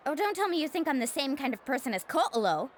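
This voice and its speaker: An actress playing an upbeat, headstrong young tour guide in a video game, breezy and assured. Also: female voice, sits high, slight background noise.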